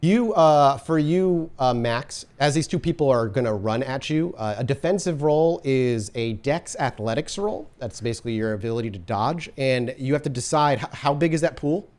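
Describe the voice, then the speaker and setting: A man's voice: medium pitch, animated, normal speed, hardly any pauses, darkish tone. A man running a tabletop horror game as storyteller, narrating the action vividly and explaining the rules patiently to his players.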